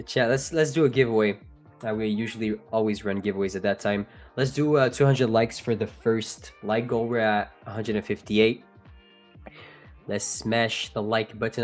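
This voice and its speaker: Casting: young male stream host, Montreal French-Canadian accent, lower-pitched voice, loose rambling chat, faint music underneath. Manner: rambling, chatty, laughs often, addresses chat. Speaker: livestream host. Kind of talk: casual livestream chat.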